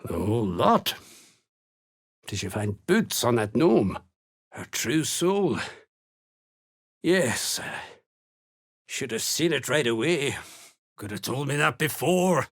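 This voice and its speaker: Menacing dwarf soldier, gravelly, slow, blunt, rustic phrasing, fantasy game villain. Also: male voice, medium pitch, sing-song, heavily acted. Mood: gruff, businesslike. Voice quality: gruff, raspy. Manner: blunt, threatening, folksy rustic turns of speech. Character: menacing, gruff Duergar slave hunter, rough soldier. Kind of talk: video game character dialogue.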